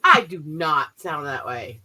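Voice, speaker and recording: Lively, bright-voiced woman chatting bluntly. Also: low voice, takes it slowly. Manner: blunt, profane, animated. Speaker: stream co-host. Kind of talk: casual livestream chat. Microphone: streaming mic, remote call.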